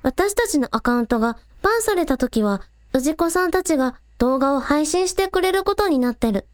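A woman plays a plucky young village idol in a radio drama. Her voice is high and close, with a casual, breezy, practical way of talking. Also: lively intonation, brisk, no room sound. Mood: thoughtful. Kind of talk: Japanese radio drama acting.

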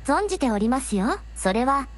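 A woman's voice: sits high, sing-song, moderate pace, no echo. A woman playing a polite young fantasy princess who speaks very formally in an audio drama. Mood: courteous. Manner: very formal, courteous speech. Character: polite, gracious young dragon-clan princess in human form. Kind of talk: Japanese audio drama acting.

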